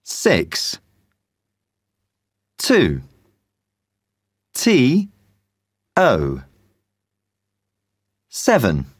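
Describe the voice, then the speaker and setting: Very low woman reading out slowly. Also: wildly swooping pitch, breathy edge, dry recording, studio quality. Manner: slow, deliberate reading. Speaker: exam audio narrator. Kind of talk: reading numbers and spellings for an exam.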